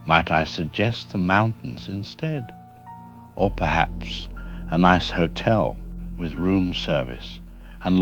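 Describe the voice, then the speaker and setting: A man playing a droll, formal horror anthology host in an audio drama, dryly ironic and measured, with dull band-limited sound. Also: low voice, a bit bright, exaggerated voice. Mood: ominous, sly. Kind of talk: scripted horror anthology hosting.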